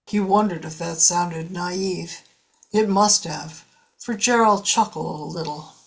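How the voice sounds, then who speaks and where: A woman with a husky voice reading scripted story narration for a radio drama. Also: on the low side, slow.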